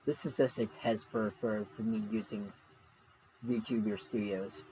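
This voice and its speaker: A man hosting a casual livestream, speaking in a halting, repetitive way. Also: lowish voice, steady tone, darkish tone. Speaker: livestream reaction host. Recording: noisy home livestream audio.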